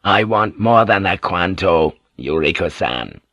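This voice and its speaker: A scheming, ambitious samurai lord, voiced by a man in a storybook. He speaks quietly and eagerly, with a low, dry, close sound. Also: animated, unhurried, no room sound, highs cut off, full character voice.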